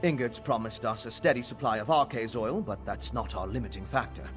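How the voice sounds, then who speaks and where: A man plays a learned alchemist scholar in a fantasy video game, explaining his theories in a measured, earnest way. His voice rises and falls a great deal, mid-pitched, with faint music behind. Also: expressive, lightly acted. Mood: determined. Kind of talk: studio video game voice acting.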